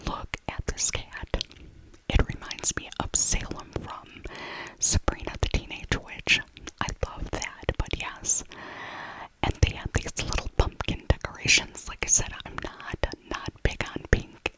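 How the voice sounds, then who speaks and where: Female, middle-aged. Soft, breathy whisper throughout for chatty ASMR voiceover, rambling casually and repeating herself. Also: rough recording. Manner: chatty, repeats phrases for emphasis. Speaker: ASMR video creator.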